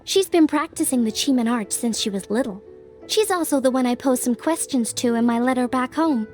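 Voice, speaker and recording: A woman acting as an earnest young mystic in a fantasy video game, with a high voice. She speaks politely and with care, thoughtful and a little hesitant, as if weighing each point. Soft music plays behind her. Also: expressive, a little fast, close to an everyday voice.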